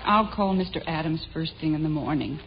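A woman's voice: sits low, brisk, dull audio. A woman playing a sensible, stubborn mother in a 1950s radio sitcom, firm and indignant but affectionate, on an old broadcast recording. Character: sensible, stubborn suburban mother and housewife, defensive yet affectionate.

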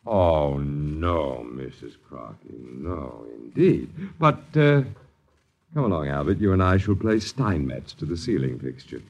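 A man's voice: a bass voice, big pitch swings, measured pace, vocal fry, a little mellow, dull audio, heavily acted. An American man acting a sardonic, wordy boarder in a 1950s radio drama, with a theatrical, mocking manner. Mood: grandly ironic. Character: sardonic, wordy male boarder mocking his miserly landlords. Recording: 1950s radio broadcast recording.

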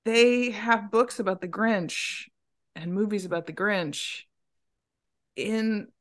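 A woman co-hosting a podcast in casual chat, laughing often and trailing off softly. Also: mid-range voice, slow. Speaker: podcast co-host.

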